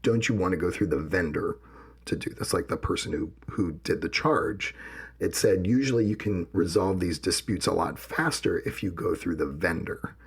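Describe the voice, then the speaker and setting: A man co-hosting a podcast, with a gravelly voice, talking casually and telling personal stories. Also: low-pitched, moderate pace. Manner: personal, reflective, tells anecdotes. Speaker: podcast co-host. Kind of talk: casual conversation.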